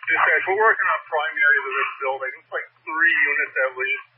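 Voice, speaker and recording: A man's voice: on the high side, brisk, heavy background noise. A man, a firefighter, giving terse status reports over two-way radio. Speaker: firefighter at incident. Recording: rough, narrow-band fireground radio.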